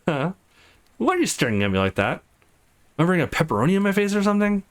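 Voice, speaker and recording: A man voicing a tough but secretly soft young woman in a visual novel, blunt, sarcastic and reluctant. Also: high-pitched, big pitch swings, fast talker, voice pushed up. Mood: relaxed.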